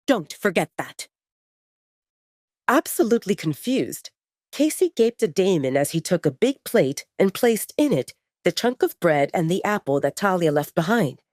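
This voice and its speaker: A woman's voice: fairly low pitch, sing-song, moderate pace, a little mellow, dry recording, clean studio sound. A woman reading scripted dramatic fiction as the narrator of an audio story.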